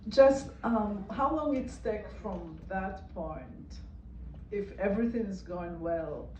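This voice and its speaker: A woman's voice: medium pitch, expressive, takes it slowly, mellow, muffled recording. Woman, audience question, casual, playful, far from mic, echoey, noisy. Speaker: audience member. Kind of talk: asking a question at a talkback. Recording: echoey, distant, noisy room recording.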